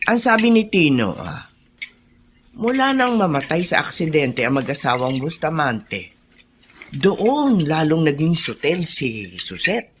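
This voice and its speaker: An actress playing an older gossipy Filipina housemaid in a radio drama, plain-spoken and full of interjections. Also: female voice, low-pitched, very animated, measured pace, slight background noise. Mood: confiding, disapproving. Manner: colloquial, full of interjections and sighs.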